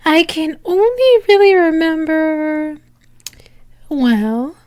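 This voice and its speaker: A woman playing a flirtatious female demon in an ASMR roleplay, teasing and sultry, breathy and often slipping into a whisper, close to the microphone. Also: high voice, very animated, darkish tone, no room sound, heavily acted. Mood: wistful, coy. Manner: sultry, teasing, drawn-out with lingering pauses.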